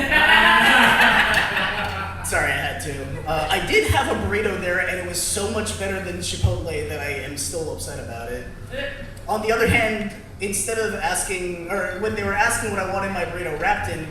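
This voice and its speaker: A young man doing a stand-up set, delivering dry jokes with many halting fillers. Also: high voice, expressive, far from the mic, noisy background. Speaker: stand-up comic. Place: small comedy club. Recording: echoey room, mic heard from afar. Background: crowd ambience and laughter.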